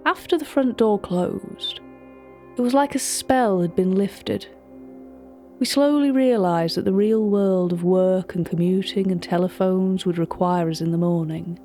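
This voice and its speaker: An actress playing a chatty, wry British gallery writer, telling a story directly to a listener in audio drama narration. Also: female voice, medium pitch, a little slow, mellow, soft background music, lightly acted.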